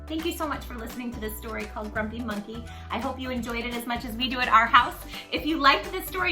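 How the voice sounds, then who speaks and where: High, lively woman reading to children. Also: roomy sound. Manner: addresses children directly, expressive, emphatic. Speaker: children's read-aloud host and tutor. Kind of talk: animated picture-book reading for young children.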